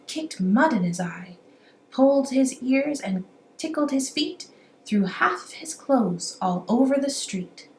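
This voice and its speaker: A woman reading a rhyming children's story aloud in an animated, amateur storytelling style. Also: high voice. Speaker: amateur children's book reader. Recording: roomy echo, faint background noise.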